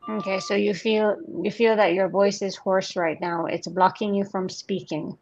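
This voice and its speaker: Woman gently guiding a video call. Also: medium pitch, fairly even intonation, moderate pace, muffled recording, faint music behind. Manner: gentle, coaxing questions. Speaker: host guiding a group session.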